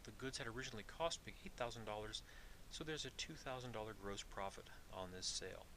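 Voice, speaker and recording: A man giving an explanatory lecture as an instructor, methodical and deliberate, restating his points. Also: fairly low pitch, expressive, sharp tone, slight background noise. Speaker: accounting instructor.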